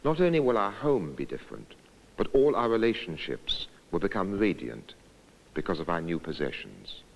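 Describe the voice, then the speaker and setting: A man giving scripted documentary narration in a measured, deliberate, reflective way. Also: deep voice, big pitch swings, gravelly, dull audio.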